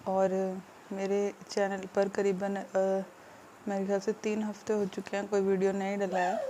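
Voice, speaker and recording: A woman from India, ill with a sore throat, talking to her viewers in a hesitant, subdued way with many pauses and fillers. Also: medium pitch, monotone, mellow, a little hiss or hum. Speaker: vlogger. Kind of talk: casual vlog update to viewers.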